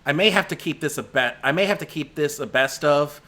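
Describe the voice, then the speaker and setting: A man on a home stream, his voice going hoarse and thin as he talks. The tone stays bright and edged despite the strain. He rambles loosely, stopping and restarting sentences with plenty of "uh" and "you know.". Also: a little high, a little fast. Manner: rambling, frequent restarts and fillers. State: voice giving out, slightly hoarse. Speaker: movie critic. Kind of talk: casual spoken movie rundown on a stream.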